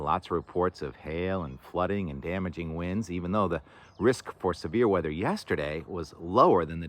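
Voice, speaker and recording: A man with a loud voice, chatting casually about the weather outdoors, rambling with lots of fillers, recorded on a camera mic with no lavalier. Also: sits low. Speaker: weather vlogger. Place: outdoors by the water in the morning.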